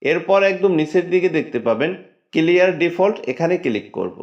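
A man narrating a step-by-step phone settings tutorial in a plain, steady instructional tone. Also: on the high side, brisk. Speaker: tech tutorial host. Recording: dull lo-fi voice-over.

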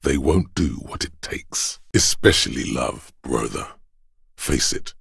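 A man voicing a grim, stoic inner voice of endurance for a game, in a solemn, portentous manner with a husky, gravelly quality. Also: very deep voice, dry recording, clean studio sound, full character voice. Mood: solemn, resolute. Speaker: voice actor. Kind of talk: dramatic game narration, second person.

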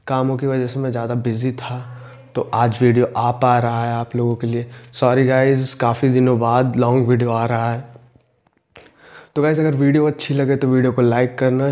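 A young man talking to camera on a lapel mic in a small room, a fitness vlogger chatting casually and asking viewers to like and subscribe. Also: mid-range voice. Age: young adult. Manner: steady, flat delivery with repeated calls to like and subscribe. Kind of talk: casual vlog talk to camera.

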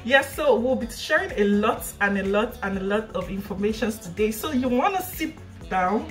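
A woman's voice: medium pitch, lively intonation, moderate pace, faint music behind. A young woman from Nigeria hosting her channel, chatting casually and laughing often, recorded indoors in a living room by a camera a short way off. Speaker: channel host. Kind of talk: casual chat.

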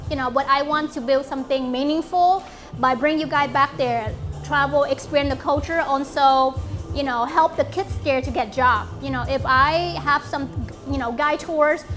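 High-voiced Vietnamese-accented woman addressing a group. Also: a bit bright. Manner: earnest, halting non-native English with fillers. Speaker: event host and company founder. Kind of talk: informal speech to a small group. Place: bright apartment lounge, indoors.

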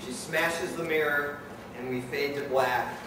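A man's voice: fairly high pitch, steady tone, unhurried. A man reading dramatic scripted narration as the narrator of a live radio play. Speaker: narrator and director. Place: event hall before an audience. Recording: very echoey, distant, noisy live hall.

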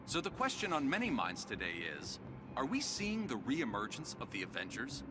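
Earnest male field reporter, lively dramatic newscast delivery for a game, music underneath. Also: medium pitch, moderate pace, low-quality recording. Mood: grave, urgent. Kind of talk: dramatic live news report.

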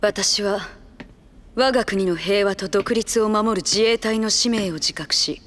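A woman's voice: mid-range voice, a little slow, in a large hall, natural voice. A woman playing an earnest young male officer. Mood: solemn.

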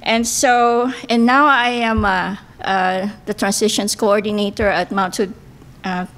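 A woman's voice: medium pitch, steady tone, unhurried, fairly bright tone. A middle-aged woman from the Philippines with a Filipino accent, speaking English as a second language in an earnest, careful candidate speech at a podium mic in a banquet room. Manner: earnest, careful, with hesitant fillers. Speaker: political candidate. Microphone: podium microphone.

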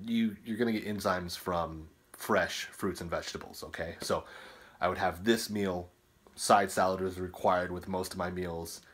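A man's voice: deep voice, fairly even intonation, normal speed, fairly bright tone, husky. A man in his thirties, a personal trainer, talking casually into a phone held at arm's length in his home kitchen, often checking in with "right?" and "okay?". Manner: chatty, explanatory, tags lines with "right?" and "okay?". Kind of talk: casual cooking and nutrition vlog. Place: small home kitchen indoors. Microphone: phone camera held at arm's length.

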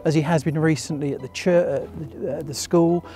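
A man's voice: a little high, fairly even intonation, normal speed. An older man interviewed outdoors by a stone church, speaking with enthusiasm and some fillers. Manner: enthusiastic, warm praise with fillers. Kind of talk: interview testimony.

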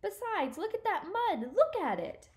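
A woman's voice: fairly high pitch, lively intonation, moderate pace, close to an everyday voice. A woman voicing a tiny, fussy male storybook adventurer who talks formally and with desperate, dejected drama. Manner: trembling, desperate, formal phrasing.

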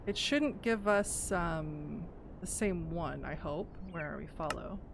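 Woman, young adult, gaming commentary, excitable and gushing, slightly hoarse, very lively pitch. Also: slow pace, noisy background. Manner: excitable, gushing over cute animals, thinks aloud. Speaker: gaming YouTuber. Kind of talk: casual Minecraft let's play commentary.

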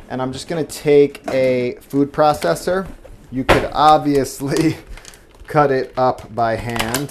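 A man's voice: mid-range voice, a little hiss or hum. A young man hosting a casual cooking tutorial, talking in a loose, upbeat way as he works. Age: young adult. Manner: chatty, loose, upbeat, says "like" and "you know" often. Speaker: home cooking channel host. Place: small home kitchen. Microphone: camera mic at a distance, moving around while cooking.

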